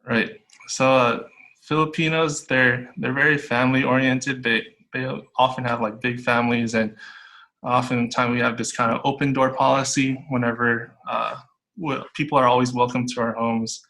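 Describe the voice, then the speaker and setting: Man, young adult, bright tone, flat intonation, relaxed with fillers, school morning show guest. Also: medium pitch, moderate pace, low-quality recording. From United States. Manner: friendly, relaxed, with fillers and restarts. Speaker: school tech support guest. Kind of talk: casual interview answers.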